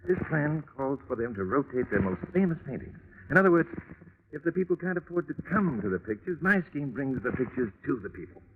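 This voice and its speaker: An actor playing an earnest, long-winded gentleman art organizer, speaking formally and self-importantly in 1940s radio drama style. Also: medium pitch, very animated, fairly quick, natural voice. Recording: tinny, noisy vintage radio recording.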